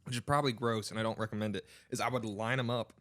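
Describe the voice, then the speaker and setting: A man's voice: on the low side, fairly even intonation, fast, fairly bright tone. A young adult man hosting a podcast, chatting casually with friends. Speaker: podcast host. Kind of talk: casual chat among friends.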